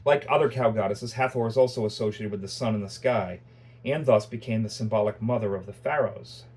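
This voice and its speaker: A man's voice: fairly low pitch, a little fast, a little mellow, breathy edge. A man teaching a Bible lecture in a conversational way, restarting sentences often and making self-deprecating asides.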